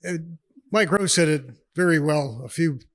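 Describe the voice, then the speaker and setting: A man's voice: a little high, normal speed, dry recording. A man in his sixties from New York State, a retired army officer telling stories as a podcast guest in a chatty, rambling way, laughing often. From New York State, USA. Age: 60s. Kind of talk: casual storytelling interview.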